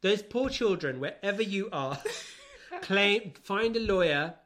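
A man's voice: sits high, big pitch swings, slow pace, a bit bright, slight background noise. A man co-hosting a podcast in casual comic chat, giggly and teasing as he talks. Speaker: podcast co-host.

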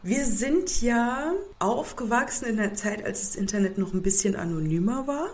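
A woman's voice: medium pitch, animated, darkish tone. A young woman with a cold, hosting a podcast in a casual, musing way. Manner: thoughtful, musing, slow stretches. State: has a cold. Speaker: podcast host.

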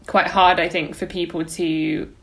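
A woman's voice: lowish voice, little pitch movement, unhurried, vocal fry, low-quality recording. A woman co-hosting a podcast, talking casually and informally in loose, meandering phrases. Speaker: podcast co-host.

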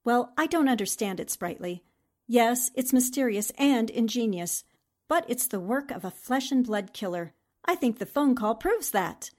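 An actress playing a confident, skeptical woman newspaper reporter in a 1940s-style radio drama, brisk and matter-of-fact. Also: on the high side, lively intonation, darkish tone. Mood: determined.

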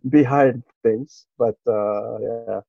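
A middle-aged man from Okinawa, whose first language was Japanese, reminisces as an interview guest. He talks in an easygoing, halting way, full of fillers and small laughs. The sound is dry and a little dull. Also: mid-range voice, fairly even intonation, creaky, no echo. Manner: easygoing, hesitant, with frequent fillers and soft laughs. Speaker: interview guest, martial artist. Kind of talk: relaxed reminiscing interview talk.

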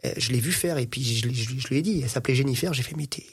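Husky-voiced man telling lively stories. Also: medium pitch, rapid-fire. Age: 30s. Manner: animated anecdotes, laughs often, many fillers. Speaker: podcast guest. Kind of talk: casual chat, storytelling.

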